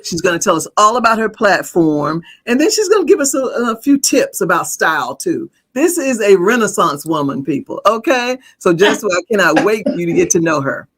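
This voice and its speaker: A woman's voice: medium pitch, very animated, fairly quick, hardly any pauses, fairly bright tone, breathy edge. A woman hosting a podcast in a casual, effusive way, laughing often. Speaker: podcast host. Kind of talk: casual chat.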